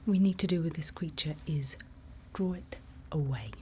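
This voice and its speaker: A woman playing a calm forest night-watch guide in an ASMR roleplay, whispering soothing, unhurried instructions close to the microphone. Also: low-pitched, slight background noise, lightly acted. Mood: calm, hushed.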